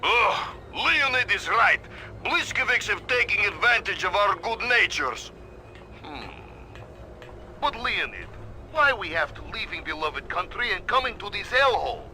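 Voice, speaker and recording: A man's voice: high-pitched, big pitch swings, unhurried, pushed and bright, faint music behind, full character voice, rough recording. A man voicing a melodramatic Russian cosmonaut in a video game, with a Russian accent and broken English. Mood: grumbling. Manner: broken English, theatrical, emphatic. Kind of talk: video game cutscene acting.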